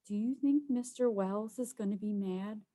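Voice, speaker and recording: A woman's voice: mid-range voice, normal speed, warm tone, lightly acted. A woman voicing a naive, talkative young boy, an eager little brother in a children's storybook. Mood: timid. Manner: guileless, talkative. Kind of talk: children's storybook read-aloud.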